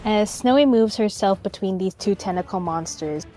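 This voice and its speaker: A woman's voice: mid-range voice, normal speed. A woman describing her character's actions as a player in a tabletop fantasy game. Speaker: tabletop role-play player. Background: background music.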